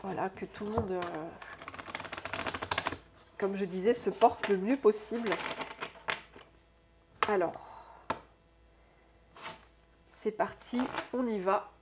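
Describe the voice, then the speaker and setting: A woman with a stuffy, congested nose from a cold, giving an intuitive oracle card reading to her viewers, musing aloud softly and often tagging her sentences with "hein". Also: medium pitch, steady tone, background noise. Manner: soft, unhurried, musing aloud with frequent "hein". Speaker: soul therapist and oracle card reader.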